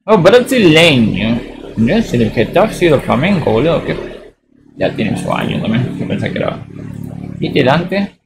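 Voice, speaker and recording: A man's voice: on the high side, very animated, measured pace, vocal fry, background noise. A man with an Argentine accent from Tucumán, chatting casually and rambling through a live game stream. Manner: rambling, slangy, says boludo often. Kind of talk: casual live-stream chat while playing.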